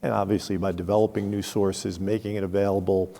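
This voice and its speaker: A man's voice: deep voice, level tone, quick pace, vocal fry, mellow. A man speaking frankly on a conference panel, explaining with examples, recorded in a reverberant hall. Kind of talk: unscripted panel discussion.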